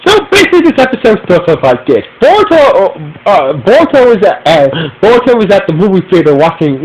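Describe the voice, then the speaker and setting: A congested, stuffy-nosed man recaps an episode for his podcast, circling back and repeating himself as he goes. His voice sits a little high for a man. A faint fan hum runs behind him in his room at home. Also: rough recording. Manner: rambling, repeats phrases and restarts often. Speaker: podcast host reviewing an episode. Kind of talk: casual spoken episode recap. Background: humming fan.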